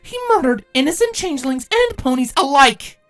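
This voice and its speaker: A man's voice: extremely high pitch, very animated, normal speed, fairly bright tone, faint music behind, pitched above the performer's own voice, heavily acted. A man voicing a troubled young female changeling, proud and wounded, in a fantasy story reading. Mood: bitter anger.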